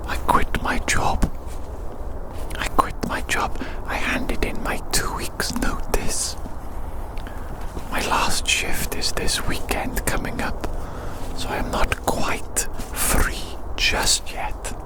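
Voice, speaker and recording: Slow, breathy ASMR whisperer. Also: poor audio. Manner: slow, repeats phrases softly. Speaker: ASMR YouTuber. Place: outdoors in winter woods.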